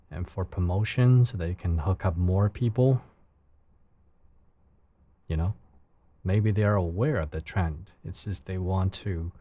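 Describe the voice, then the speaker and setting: A man's voice: deep voice, warm tone. A man from China with a Chinese accent and a soothing voice, chatting casually with viewers on his livestream in careful, searching second-language phrasing. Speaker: live streamer.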